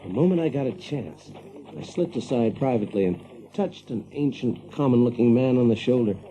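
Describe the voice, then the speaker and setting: An actor as an animated, folksy Yankee factory man. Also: mid-range voice, fairly quick, lightly acted, poor audio. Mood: wry, marveling. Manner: folksy, chuckling, animated, drawling emphasis. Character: brash, practical, folksy Yankee factory man telling his tale. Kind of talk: audio drama first-person storytelling.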